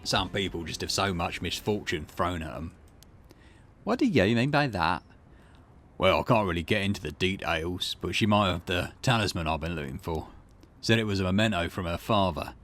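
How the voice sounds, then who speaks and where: Man playing a low-voiced, easygoing young boyfriend. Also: lively intonation, moderate pace, a bit bright. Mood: sheepish. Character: mild, dopey young boyfriend, video game character.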